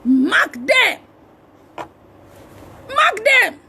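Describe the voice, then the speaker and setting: A Nigerian woman preaches in a very high-pitched voice with a gravelly creak. She delivers a fiery charismatic sermon, exhorting forcefully and repeating phrases for emphasis, often at a shout. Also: sing-song, drawn-out words, slight background noise. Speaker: preacher and prophet.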